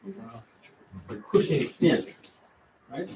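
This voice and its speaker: A man with a low baritone voice, teaching a kids karate class as guest instructor, calling out counts and correcting form. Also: expressive. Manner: slow, counts drills aloud and corrects form. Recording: echoey hall, far from mic, noisy.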